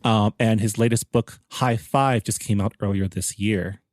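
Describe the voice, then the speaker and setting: A man's voice: on the low side, steady tone, measured pace, dry recording, faint music behind. A man hosting a podcast in a casual, conversational way, introducing the show and interviewing. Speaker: podcast host.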